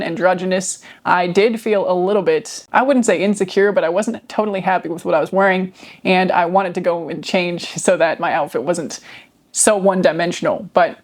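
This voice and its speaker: A woman with a deep voice telling a reflective personal story to camera, seated at home by a nearby microphone, laughing softly between phrases. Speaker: vlogger. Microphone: microphone near her.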